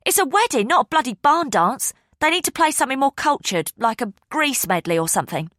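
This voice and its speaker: A woman's voice: very animated, fairly quick, fairly bright tone, no room sound, clean studio sound. A woman voicing a furious, spiteful young woman who snaps and sneers at her sister. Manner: shrill, snapping, contemptuous.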